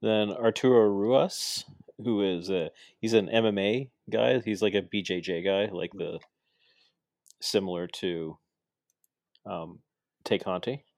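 Low-voiced male podcast host, dry sound. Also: slow pace, vocal fry, no echo. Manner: relaxed, rambling with many fillers and restarts. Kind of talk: casual chat.

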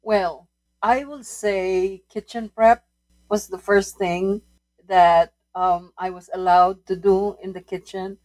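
A woman in her sixties, a food writer and guest, recalling her life at a halting pace with frequent pauses and restarts. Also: medium pitch, fairly even intonation, a little mellow, rough recording. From Philippines. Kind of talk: casual interview.

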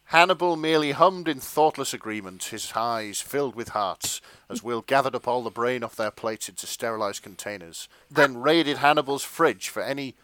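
A man's voice: medium pitch, moderate pace, few pauses, a bit bright, dry recording, rough recording. A young man, narrating a comic dramatic reading of fan fiction.

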